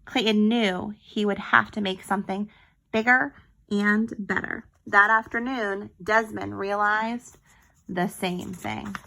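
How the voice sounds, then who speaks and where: A woman's voice: mid-range voice, gravelly, bright, forceful tone, rough recording. A woman reading a picture book aloud to young children like a teacher, expressively and with small explanatory asides.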